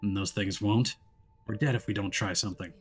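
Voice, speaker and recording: A man doing gruff, husky, hard-bitten detective. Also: fairly low pitch, fairly even intonation, brisk, soft background music. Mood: grimly resolute. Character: K.K., hard-bitten, defiant male spirit detective. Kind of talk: visual novel character reading.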